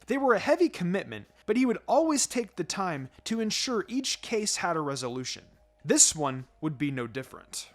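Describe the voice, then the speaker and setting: A man's voice: sits high, a bit bright. A man reading a story aloud as a narrator, in a scripted storytelling style. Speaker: fan fiction reader. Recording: music behind the voice.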